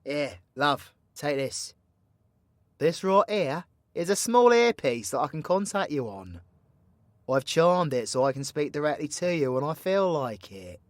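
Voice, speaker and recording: A man's voice: on the high side, very animated, unhurried, dry recording. A man playing a cocky, foul-mouthed, playfully teasing supervillain mentor in an audio roleplay, with a British accent and a slangy, swaggering, theatrical delivery. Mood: sardonic. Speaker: voice actor. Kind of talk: villain ASMR audio roleplay, addressing the listener.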